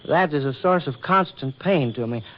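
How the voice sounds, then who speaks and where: An actor playing a courtly, earnest government official who woos in stiff, hesitant, formal speech, in 1940s radio drama style. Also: male voice, a little high, animated, moderate pace, natural voice. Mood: earnest, wooing. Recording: muffled, noisy 1940s radio recording.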